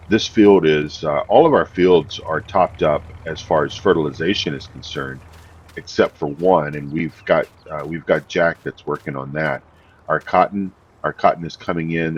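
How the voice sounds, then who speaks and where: A man hosting a farming game, talking casually in a deep morning voice, easygoing and rambling with many fillers. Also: breathy edge, highs cut off. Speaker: game host. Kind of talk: casual gameplay commentary.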